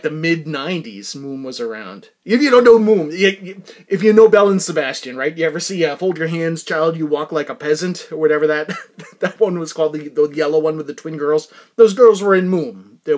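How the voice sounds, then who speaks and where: A middle-aged man hosting a podcast in a rambling, casual monologue, animated, often interrupting himself and repeating words for emphasis. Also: fairly high pitch. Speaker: podcast host.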